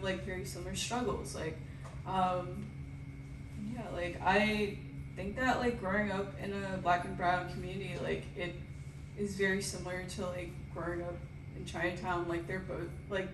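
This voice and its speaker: A woman's voice: on the low side, flat delivery, moderate pace. Woman, from New York, panelist, chatty, fillers, noisy background. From Coney Island, New York. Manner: chatty, full of "like" and "you know". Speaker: photographer on a panel. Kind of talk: panel discussion. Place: event room at a photography center. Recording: roomy room mic from far off, background noise.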